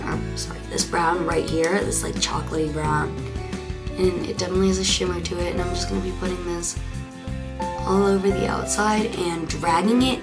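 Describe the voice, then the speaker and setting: A young woman with a hoarse, raspy, congested voice, chatting casually through a makeup tutorial with plenty of fillers. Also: lowish voice. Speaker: beauty vlogger. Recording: clear background music.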